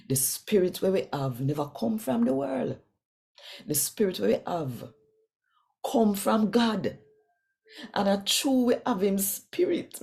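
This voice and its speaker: Casting: Jamaican woman, scripture reader, low creaky voice, expressive and emphatic delivery. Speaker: scripture reader and host of a daily reading.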